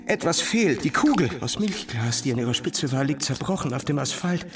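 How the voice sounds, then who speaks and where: An anxious, entranced dreamer played by a man speaks from a little distance over clear music. His delivery is intense and halting, in short breathy phrases, like an inner voice in a literary audio drama. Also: mid-range voice, animated, natural voice. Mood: uneasy, entranced. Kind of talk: literary audio drama, present-tense stream of consciousness.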